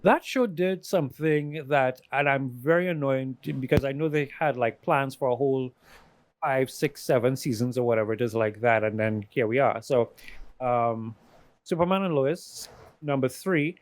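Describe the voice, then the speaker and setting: A man's voice: mid-range voice, moderate pace, no room sound. A man hosting a casual panel chat, giving his opinions in an emphatic, exasperated, ranting way. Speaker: podcast host giving a ranked list.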